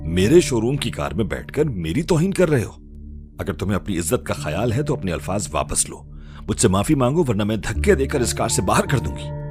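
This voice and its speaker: A man voicing a sharp-tongued, proud young woman with a childlike streak, speaking in a taunting, indignant manner. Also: on the low side, very animated, brisk, over music, voice pushed up.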